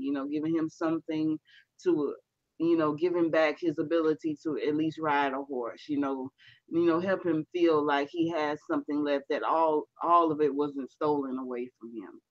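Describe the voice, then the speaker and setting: A man talking casually in a book club discussion, halting and repeating phrases with many fillers. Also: fairly high pitch, no echo, dull audio.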